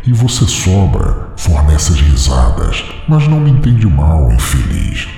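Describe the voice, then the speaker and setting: A man voicing a gravelly sneering inner demon. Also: huge pitch swings, measured pace, mellow, heavily acted. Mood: mocking. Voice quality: deep gravelly voice. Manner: sneering, condescending, mock-sweet. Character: mocking inner demon, female, cruel and taunting. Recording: echoey reverb effect with music.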